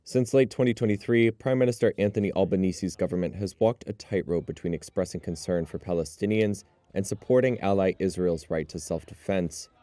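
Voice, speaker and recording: A man narrating a news report in a measured, even delivery. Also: sits low, no echo.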